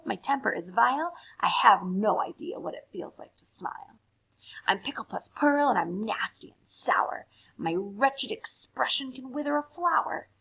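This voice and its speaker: Woman acting a sour menacing girl, haughty, theatrical poem recital, creaky, husky. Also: on the high side, fairly bright tone, heavily acted, rough recording. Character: nasty sour girl Pickle, miserable and menacing.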